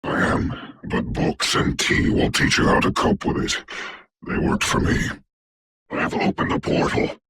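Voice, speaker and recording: An actor voicing a commanding, haughty dark sorcerer for a video game, speaking in a formal, grandiose, deliberate way with a gravelly, breathy voice. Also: male voice, slight background noise. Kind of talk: theatrical hero game voice lines.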